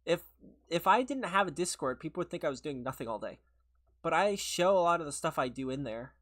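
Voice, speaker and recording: Sniffly higher-voiced man streaming a game. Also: sing-song, a little fast, a bit bright. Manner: chatty and rambling, laughs often, answers chat. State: sick, sniffly, voice a little worn. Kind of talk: casual livestream chat while gaming, replying to viewers.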